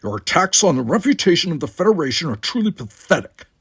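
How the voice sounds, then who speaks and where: A man performs a young male Klingon warrior cadet for an audiobook. He is forceful and formal, with a raised, husky, gravelly voice and biting sarcasm. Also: a little high, very animated, fast, no room sound, studio quality, pitched above the performer's own voice, heavily acted. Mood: stern, sarcastic. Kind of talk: audiobook character voice.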